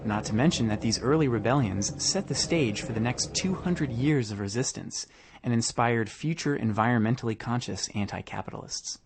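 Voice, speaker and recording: A man's voice: mid-range voice, moderate pace, slight background noise. A man reading scripted documentary narration for a podcast. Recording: voice over clearly audible music. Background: music.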